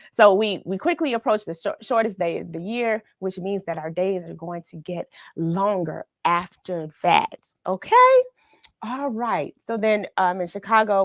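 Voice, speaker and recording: A middle-aged American woman hosting a children's read-along livestream, chatting in an encouraging, unhurried way straight to her viewers. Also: medium pitch, no room sound, clean studio sound. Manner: encouraging, unhurried, addresses listeners directly. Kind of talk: chatty livestream to viewers, greeting them by name.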